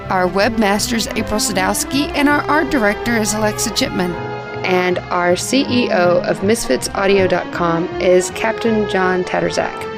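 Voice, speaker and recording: A woman announcer reading the end credits of an audio drama in an even, measured way. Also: mid-range voice. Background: music.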